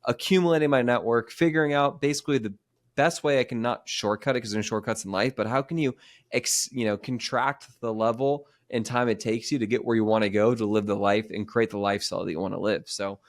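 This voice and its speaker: A man in his thirties hosting a podcast, chatting casually in a quick, rambling way with many restarts. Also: mid-range voice. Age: 30s. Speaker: podcast host. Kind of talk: casual interview chat.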